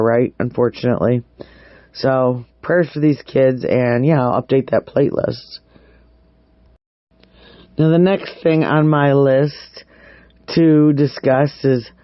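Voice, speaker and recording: A man's voice: medium pitch, no echo. A man hosting a true crime vlog, giving casual, opinionated news commentary and repeating words for emphasis. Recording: dull, muffled lo-fi audio.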